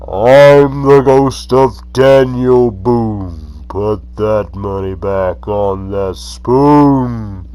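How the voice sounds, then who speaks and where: A menacing old-time ghost from a spoken campfire tale. The voice booms low and resonant, dragging each word out in an extremely slow rhyming chant. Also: male voice, warm tone, clear, resonant tone, no echo. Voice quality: booming. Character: booming, menacing male ghost of a frontiersman.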